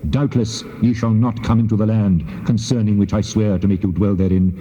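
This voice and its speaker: A man's voice: on the low side, flat delivery, a little fast, dark tone, natural voice. A man playing a wrathful, majestic God in a dramatized scripture recording, speaking in solemn declamation. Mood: stern, wrathful. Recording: muffled, long cavernous reverb.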